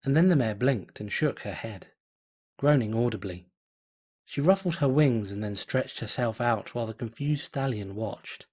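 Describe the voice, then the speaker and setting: A man narrating a fan story at home, reading in a measured, dramatic way. His voice sits at an ordinary, mid-range male pitch. He lets the suspense build steadily from line to line. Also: expressive, a little mellow, husky. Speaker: fanfiction reader.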